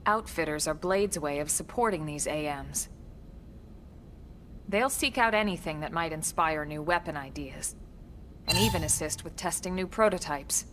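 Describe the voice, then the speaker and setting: An actress playing a composed, capable military team leader in a video game, with a measured, assured delivery. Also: female voice, sits low, fairly bright tone, a little hiss or hum. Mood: reassuring, explanatory. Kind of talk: video game cutscene acting.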